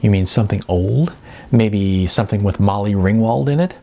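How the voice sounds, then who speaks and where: A man's voice: lowish voice, moderate pace, vocal fry, mellow. A man reading a novel aloud, voicing an earnest, shaken young woman with faltering speech.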